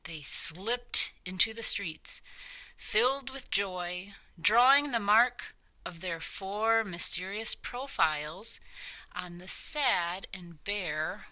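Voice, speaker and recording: Slow, very bright-voiced woman storyteller. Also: medium pitch, low-quality recording. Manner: dramatic, deliberate phrasing. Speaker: storybook narrator. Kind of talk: scripted children's story narration.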